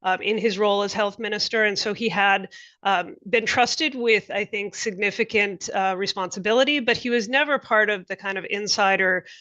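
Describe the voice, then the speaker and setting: A woman speaking as an analyst on a panel, giving a measured, articulate account. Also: mid-range voice, bright, forceful tone, no room sound. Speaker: policy analyst. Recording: dull, dry lo-fi sound.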